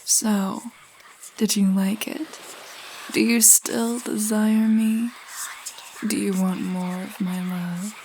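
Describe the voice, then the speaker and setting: A woman playing a seductive, sinister supernatural temptress in a horror audio story, speaking in breathy whispers. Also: mid-range voice, little pitch movement, slow, pauses often, a little mellow, slight background noise, poor audio. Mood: sinister, coaxing.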